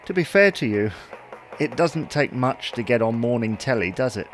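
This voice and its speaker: A man's voice: mid-range voice, animated, normal speed, over music, lightly acted. A British man playing an easygoing, slightly hapless husband, mildly defensive, in an audio sitcom. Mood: teasing. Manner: mild, querying, gently defensive. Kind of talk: audio sitcom acting, domestic dialogue.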